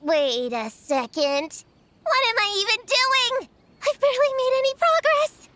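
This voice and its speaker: A woman's voice: very high voice, lively intonation, a little slow, background noise. A woman voicing a precocious, earnest little girl witch hunter in a video game. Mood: upset, faltering.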